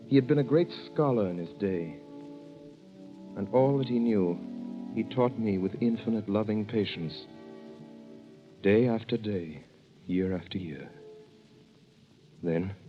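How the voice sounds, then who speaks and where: A man's voice: on the low side, lightly acted. An actor playing a young French prisoner who tells his story with dramatic earnestness in an old radio drama. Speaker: narrator. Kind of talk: 1930s radio drama narration. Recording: dull old radio recording with music.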